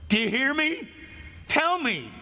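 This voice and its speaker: A man's voice: high voice, animated, measured pace, a bit bright, heavily acted. An actor playing a condemned man's desperate, bitter soul in an old-time radio play, agitated and stammering. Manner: agitated, stammering, repeating himself. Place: on stage in a hall. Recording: echoey stage theatre with long reverb.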